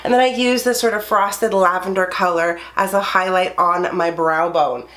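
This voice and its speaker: Girl doing a makeup review in her room, stuffy nasal voice from a cold, super chatty and excited. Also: fairly low pitch, moderate pace. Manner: enthusiastic, chatty. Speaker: beauty YouTuber. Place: her bedroom under filming lights.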